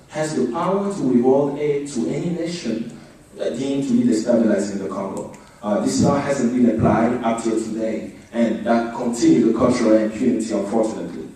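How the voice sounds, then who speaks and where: A man giving a formal briefing as an advocacy speaker, going through his points one by one in a measured way. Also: medium pitch, dark tone. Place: indoor meeting room. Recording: echoey room, distant microphone.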